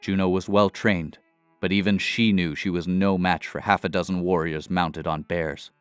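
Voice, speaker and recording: A male storyteller narrates a fantasy adventure in a deep, smooth voice. His delivery is measured and dramatic, recorded dry and close, with music playing underneath. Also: fairly even intonation, no echo. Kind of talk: scripted fantasy audio narration.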